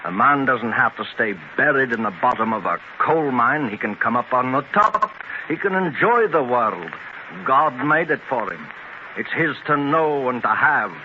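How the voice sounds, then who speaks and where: An actor playing a determined, idealistic older Scottish industrialist in 1930s radio drama style, speaking with plain, emphatic conviction. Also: male voice, mid-range voice, lively intonation, moderate pace, lightly acted. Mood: earnest, idealistic. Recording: muffled, noisy 1930s radio transcription.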